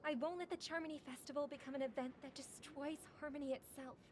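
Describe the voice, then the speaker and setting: High-voiced, earnest young songstress played by a woman. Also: fairly even intonation, fast talker, slight background noise, lightly acted. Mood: earnest, resolute. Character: gentle, idealistic young female singer, earnest and resolute. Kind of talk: scripted video game voice acting.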